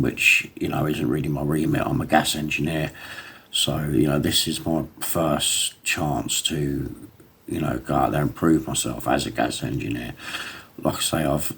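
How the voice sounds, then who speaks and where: A middle-aged man vlogging to his phone, hoarse from a lost voice, rambling and defiant. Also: rumbling low. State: hoarse, recovering voice. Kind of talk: casual talk to camera.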